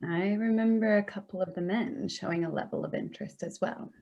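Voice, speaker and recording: A woman plays a wry, sardonic grown daughter who trades barbs calmly. Her voice sits at a middle pitch with an everyday sound. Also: brisk, lo-fi sound, lightly acted. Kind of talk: staged play reading.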